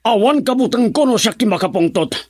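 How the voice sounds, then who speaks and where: A man playing a vengeful, explosive older father in a Filipino radio drama, with a menacing, shouting delivery and a Filipino accent. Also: high-pitched, animated, a little fast.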